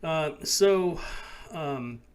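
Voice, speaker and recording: A man's voice: on the high side, lively intonation, drawn-out words, clear, resonant tone. Casual man hosting a paranormal podcast. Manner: loose storytelling, many fillers. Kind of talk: casual interview chat.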